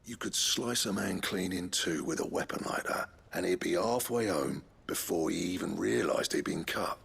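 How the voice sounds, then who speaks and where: An actor playing a gruff, blunt master blacksmith in a video game, grumbling in a rough, husky British voice. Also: male voice, sits low, normal speed, fairly bright tone, exaggerated voice. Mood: preoccupied. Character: gruff, grudging, foul-mouthed master blacksmith.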